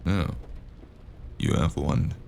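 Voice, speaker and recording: A man playing a weary, wounded noir detective in an audio drama, gruff and deadpan, with a gravelly voice and dry wit. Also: slow pace, slight background noise, close to an everyday voice. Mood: dry, laconic. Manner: laconic, deadpan.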